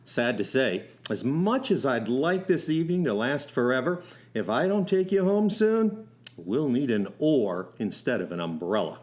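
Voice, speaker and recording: A man's voice: mid-range voice, big pitch swings, moderate pace, darkish tone, natural voice. A man acting as a young driving instructor and soldier, telling his love story in a wry, folksy way for a radio play. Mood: friendly, joking. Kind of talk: first-person radio storytelling with acted dialogue.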